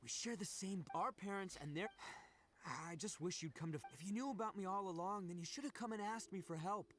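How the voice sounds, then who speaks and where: Brash, high-pitched oni gang boss. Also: male voice, fairly quick, a bit bright, slight background noise. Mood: earnest. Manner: boisterous, theatrical, casual slangy talk. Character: brash, big-hearted male oni gang boss, boisterous and loyal. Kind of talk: video game voice acting.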